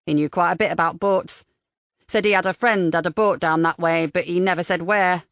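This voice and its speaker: A woman voicing a chatty, kindly old countryman gardener with a broad Lincolnshire dialect, slow and lower than her own voice. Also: fairly even intonation, no room sound, natural voice. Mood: folksy. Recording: slightly dull dry recording.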